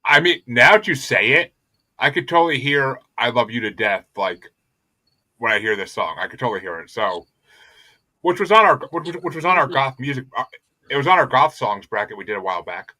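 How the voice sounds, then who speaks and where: A man hosting a casual podcast, talking in a profane, animated, rambling way. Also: mid-range voice, a little fast, no room sound. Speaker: podcast host.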